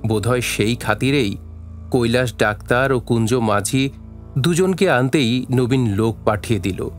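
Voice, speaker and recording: A man reads scripted story narration for an audio drama. His voice sits at a middle pitch, with faint music playing behind it. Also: measured pace.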